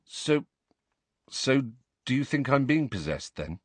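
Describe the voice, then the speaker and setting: A man's voice: medium pitch, sing-song, slow pace, dry recording, voice pushed up. A man voicing a moody, prickly, defensive teenage boy in an audiobook, with a stiff, snappish manner. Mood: contrite.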